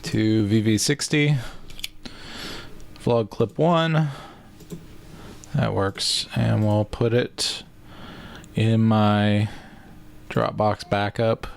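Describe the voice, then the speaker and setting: A man's voice: medium pitch, gravelly. A man talking casually as a vlogger, informally thinking aloud while he works. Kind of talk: casual vlog talk.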